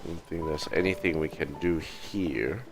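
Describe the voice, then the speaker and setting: A woman's voice: a bass voice, unhurried, over music. A woman livestreaming a video game with casual commentary.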